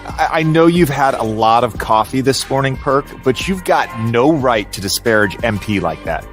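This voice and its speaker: An actor playing an indignant but reasoned sports analyst, arguing articulately on a TV debate show. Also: male voice, medium pitch, expressive, normal speed, soft background music, lightly acted.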